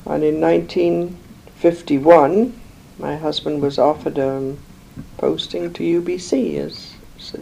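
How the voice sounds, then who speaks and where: An older woman from England, slowly recalling her life in an interview, rambling with many pauses and fillers. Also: deep voice, steady tone, creaky, dark tone, a little hiss or hum, poor audio. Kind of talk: oral history recollection.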